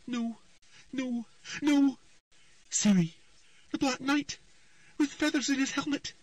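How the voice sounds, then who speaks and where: A young girl, frightened and caught in a vision, pleads in a high, shaking voice, put on by a male narrator. Her words come broken and breathy. She sounds a little distant in a dry room. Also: unhurried, no echo. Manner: shaky, pleading.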